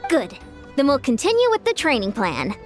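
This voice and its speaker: A woman voicing an upbeat, encouraging young fitness coach in a video game, brisk and confident as she instructs. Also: sits high, huge pitch swings, soft background music. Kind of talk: game character dialogue.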